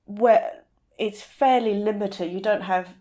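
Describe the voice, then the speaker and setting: A woman's voice: mid-range voice, slow pace, dark tone. A woman speaking as a guest in a casual discussion, often rephrasing as she searches for the right words. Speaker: podcast guest, literary translator.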